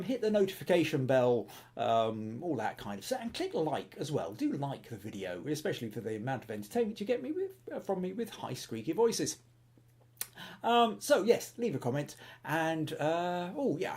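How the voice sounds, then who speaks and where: A man's voice: fairly high pitch, a little mellow. A man hosting a live-streamed photography show, chatting to viewers with many fillers and poking fun at himself. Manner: chatty, self-deprecating, lots of fillers. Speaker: photography podcast host.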